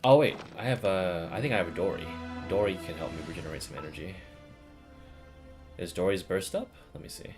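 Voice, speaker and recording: A man giving casual, profane and excitable commentary while he plays a video game. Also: low voice, brisk, music in the background. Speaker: game streamer.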